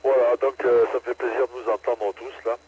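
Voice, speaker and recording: An older man checks into a ham radio net and rambles along, halting and repeating himself. His voice sits at a middle pitch. Clear noise runs under the radio signal. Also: little pitch movement, a little mellow. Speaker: radio amateur calling in. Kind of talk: casual on-air chat. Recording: noisy radio transmission.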